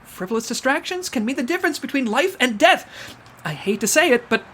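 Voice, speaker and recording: A man's voice: very high-pitched, animated, brisk, bright, forceful tone, slight background noise, exaggerated voice. An actor playing a fussy, rule-bound male agent in a comedy audio drama, scolding and indignant. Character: fussy uptight male agent, rigid planner.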